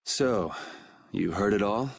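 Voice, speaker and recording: A man's voice: on the low side, slow, creaky, full character voice. A man playing a cynical, defiant young vigilante swordsman in a dubbed anime game, with a blunt, sardonic delivery. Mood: quiet, testing.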